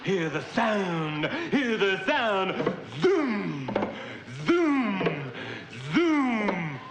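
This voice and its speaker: A man performs poetry as a theatrical chant. His high voice swings widely and stretches words very slowly, in an echoey archival recording with clear noise. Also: very animated. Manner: drawn-out, theatrical delivery.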